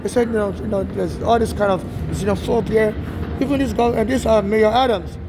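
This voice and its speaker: Brief: fast, high-pitched man with a Nigerian-tinged American accent, urgent rambling monologue outdoors with loud city traffic behind. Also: steady tone. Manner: urgent, repetitive, restarts often. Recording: street noise outdoors.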